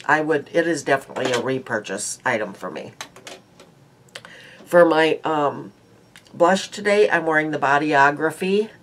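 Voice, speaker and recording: A woman's voice: deep voice, animated, moderate pace, slight background noise. A woman in her sixties with a stuffy nose, chatting casually to a webcam in a small room at home about her makeup and her day, rambling with many ums and uhs. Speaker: beauty vlogger. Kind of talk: casual daily makeup chat. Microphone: webcam at close range.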